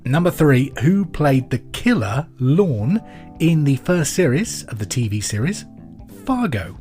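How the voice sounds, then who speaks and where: A man presenting a podcast pub quiz in a scripted, clear and deliberate way. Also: mid-range voice, very animated, darkish tone, faint music behind. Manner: repeats questions clearly and deliberately.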